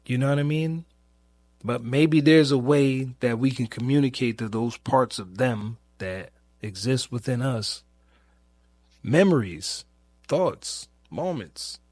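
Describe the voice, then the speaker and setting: Male podcast host, medium pitch, slow and searching delivery, informal reflective monologue, very dry close sound. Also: darkish tone, no echo. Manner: halting, searching, with long pauses and repeated phrases; informal, rhetorical questioning. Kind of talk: reflective personal monologue about grief.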